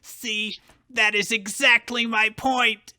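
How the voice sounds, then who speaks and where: Man plays bitter young son in fan fiction theatre: high, piercing, dry sound, sarcastic and snarling. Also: level tone, slow, sharp tone, dry recording, exaggerated voice. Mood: fuming. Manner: scornful, snarling, dramatic. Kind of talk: fan fiction dramatic reading.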